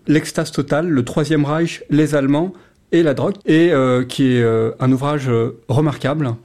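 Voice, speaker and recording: A man's voice: fairly high pitch, fairly even intonation, normal speed, a little mellow. A man, a journalist, answering interview questions on the radio with frequent hesitations. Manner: hesitant, many fillers. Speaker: journalist guest.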